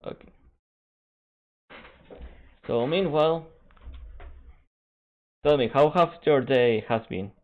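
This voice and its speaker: Man, second-language English, esports stream host, casual chat, halting phrasing, thin phone-like audio. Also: medium pitch. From Canada. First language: Spanish. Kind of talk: casual stream chat while waiting for a match. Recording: narrow phone-like band.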